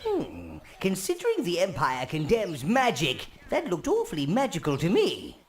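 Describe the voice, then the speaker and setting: A wicked old minion advisor. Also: male voice, sits high, wildly swooping pitch, normal speed. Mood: scheming. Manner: gleefully sinister, conspiratorial. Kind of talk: video game cutscene acting. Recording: game audio with music behind.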